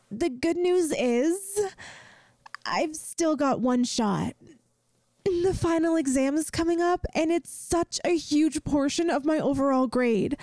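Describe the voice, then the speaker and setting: A woman's voice: sits high, warm tone, dry recording. A woman playing a bubbly, inept, lovestruck young yandere student in an audio roleplay, rambling and pleading dramatically with the listener. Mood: anxious, confiding. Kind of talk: scripted ASMR audio roleplay, addressing the listener.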